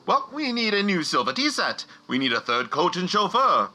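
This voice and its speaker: A man's voice: sits high, sing-song, normal speed, sharp tone, heavily acted. A man playing a pompous, greedy, self-centred wealthy father with theatrical delivery in a comic radio play. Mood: outraged.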